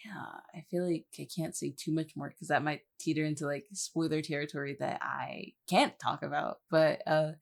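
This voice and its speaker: A woman's voice: deep voice, fairly even intonation, moderate pace, vocal fry, few pauses. A woman chatting casually as a podcast guest, rambling a little as she thinks aloud. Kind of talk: casual chat.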